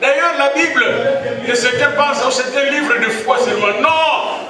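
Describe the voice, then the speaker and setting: A man with a Congolese French accent giving a graduation speech that turns to preaching, emphatic and rising into excited exclamations, heard from a distance in a large hall with an audience. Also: extremely high pitch, normal speed. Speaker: university rector speaking at a graduation. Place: large assembly hall in Kinshasa.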